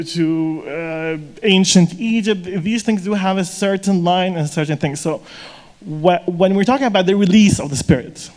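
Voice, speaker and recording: A man's voice: fairly high pitch, a little mellow. A man in the audience posing a long, rambling question with many hesitations. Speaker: audience member asking a question.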